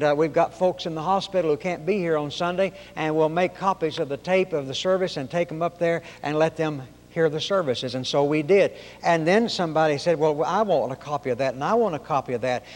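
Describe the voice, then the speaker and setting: Preacher guy, nasal highish voice, folksy storytelling sermon, echoey sanctuary. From Oklahoma, raised in Arkansas. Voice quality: high-pitched nasal voice.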